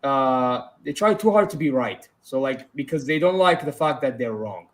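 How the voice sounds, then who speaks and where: A man's voice: mid-range voice, animated, moderate pace. A man from Saudi Arabia with a British-influenced accent, co-hosting a casual livestream chat and bantering a lot, with plenty of "bro" and "you know what I mean". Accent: British-influenced English. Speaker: co-host, channel owner.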